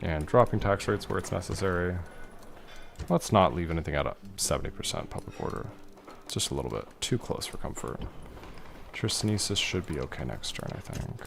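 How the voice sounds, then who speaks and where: A man casually talking through a strategy game as he plays, thinking aloud and answering viewer questions in a relaxed way. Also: low-pitched, brisk, vocal fry, background noise. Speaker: streamer playing a strategy game. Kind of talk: casual gameplay commentary reading chat.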